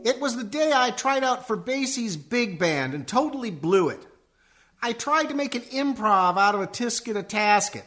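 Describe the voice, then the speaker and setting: Man acting as young musician son, radio comedy: earnest, melodramatic, very animated and bright. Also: extremely high pitch, moderate pace, soft background music, full character voice. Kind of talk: comic radio play acting.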